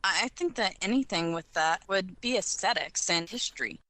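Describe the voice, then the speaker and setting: A woman's voice: medium pitch, expressive, moderate pace, sharp tone. A woman on a podcast panel giving informal advice in a discussion. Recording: background noise with clicks.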